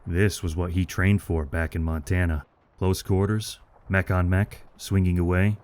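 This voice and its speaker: A male narrator reads a military adventure with dramatic, scripted delivery. His voice is low-pitched, and he keeps a measured, grave storytelling manner. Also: little pitch movement, a little mellow.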